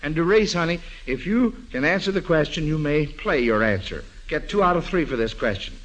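A man's voice: a little high, lively intonation, brisk, a bit bright, low-quality recording. A man hosting a 1940s radio quiz show in a folksy, avuncular way, repeating answers back, on an old broadcast recording.

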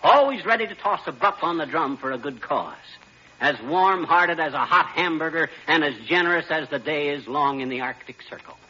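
High, bright, brash wisecracking schemer. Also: male voice, expressive, normal speed, husky, full character voice. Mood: jovial, wheedling. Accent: American. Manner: folksy, slangy, swooping delivery. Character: brash, wisecracking middle-aged husband, a fast-talking schemer. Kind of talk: 1940s American radio comedy acting. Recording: dull, noisy old 1940s radio recording.

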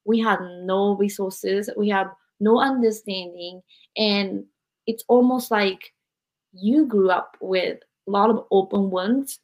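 Host, woman in her forties from Japan, mid-pitched, reflective and filler-heavy. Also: steady tone, takes it slowly. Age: 40s. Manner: reflective, with frequent "like" and "you know". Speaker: podcast host. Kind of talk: interview conversation.

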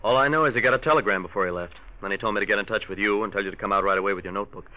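A dull old radio recording carries a deep-voiced young American schemer played by a male actor. He talks quickly and smoothly, putting on an easy friendliness as in 1940s radio drama. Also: close to an everyday voice. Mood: feigned casualness. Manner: smooth-talking, falsely casual.

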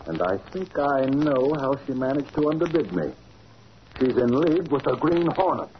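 A man's voice: mid-range voice, animated, normal speed, close to an everyday voice. A man playing a domineering crooked businessman in a 1940s radio drama, commanding and contemptuous. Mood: commanding, menacing. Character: domineering crooked businessman, a cold scheming boss. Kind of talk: 1940s radio villain acting. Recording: muffled, noisy old radio recording.

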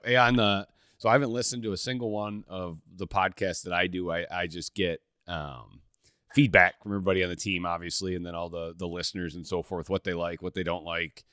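A man hosting a hunting podcast, chatting in a relaxed way with lots of fillers and restarts. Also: low voice, fairly quick, clear, resonant tone, dry recording, clean studio sound. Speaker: podcast host. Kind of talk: casual podcast conversation.

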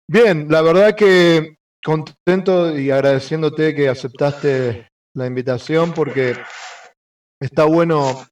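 A man's voice: mid-range voice, a little mellow, slight background noise. A man hosting a casual interview, asking loose, hesitant questions with jokes. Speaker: host of the interview channel. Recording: voice distant from the mic.

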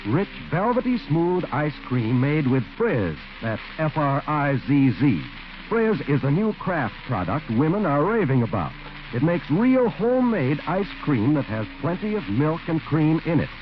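A man's voice: fairly high pitch, very animated, normal speed, slight background noise. An American man delivering a scripted 1940s radio advertisement as a commercial announcer. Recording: muffled old radio recording with music.

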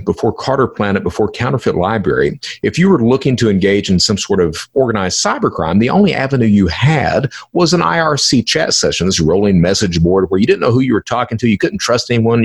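Guy doing a solo podcast explainer, kinda raspy, really up and down in pitch, hammers his points by repeating them. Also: very animated, a little fast, hardly any pauses. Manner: emphatic, repeats phrases and poses questions to himself. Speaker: podcast host explaining cybercrime.

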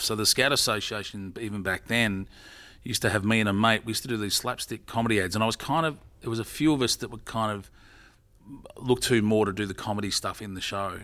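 Interview guest: middle-aged man, lowish voice, casual rambling storyteller who restarts his sentences. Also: bright, forceful tone. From Australia. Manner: rambling, self-correcting storyteller.

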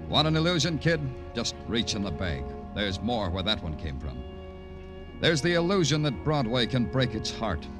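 An actor playing a world-weary American police detective in a 1950s radio crime drama. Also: male voice, medium pitch, close to an everyday voice. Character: world-weary, hard-boiled male New York police detective. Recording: vintage radio broadcast, music behind.

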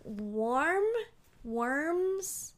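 A woman in her thirties with a Filipino accent and a high-pitched voice. She co-hosts a casual podcast, talking bluntly and playfully with frequent swearing. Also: expressive, drawn-out words. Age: 30s. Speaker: podcast co-host.

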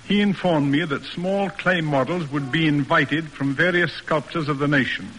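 Man acting forceful, stern old-radio father. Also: a little high, normal speed, long reverb tail, slight background noise, natural voice, rough recording. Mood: pointed, formal. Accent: American. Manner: formal, emphatic, declamatory. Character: stern, pompous, exacting middle-aged father, proud and demanding. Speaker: actor narrating and playing the father. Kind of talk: classic American radio drama acting. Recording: old radio broadcast recording.

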